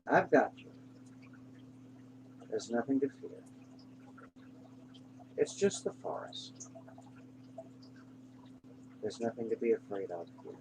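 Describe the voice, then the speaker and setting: A man narrating a guided meditation in an unhurried, reassuring manner, pausing between short phrases. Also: mid-range voice, sing-song. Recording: clear background hum and noise.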